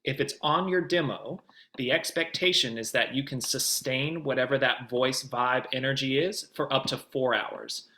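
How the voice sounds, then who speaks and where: A man's voice: medium pitch, normal speed, sharp tone. A man working as a coach, giving precise, emphatic expert advice in a conversational question-and-answer session. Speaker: voice demo producer, guest coach.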